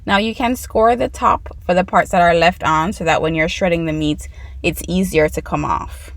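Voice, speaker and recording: Jamaican woman with a gravelly low voice. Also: normal speed, no room sound. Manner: chatty, explains each step to "you guys". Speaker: cooking channel host. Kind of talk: casual cooking tutorial. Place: home kitchen.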